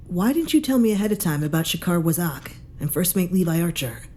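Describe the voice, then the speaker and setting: A woman's voice: fairly low pitch, expressive, fairly quick, dark tone, a little hiss or hum, close to an everyday voice. A woman playing a stern commanding officer who speaks curtly with authority. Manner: curt, authoritative. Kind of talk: audio drama acting.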